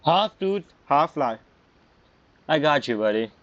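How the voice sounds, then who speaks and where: A man's voice: a little high, expressive, takes it slowly, no echo, dull audio. A man giving casual game playthrough commentary, musing aloud with short reactions and questions. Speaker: game streamer.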